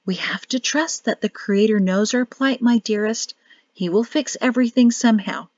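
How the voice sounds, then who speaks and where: A woman with a rough, crackling voice voicing a calm, gentle older male rector, soft and soothing. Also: on the high side, moderate pace, dry recording, lo-fi sound, lightly acted.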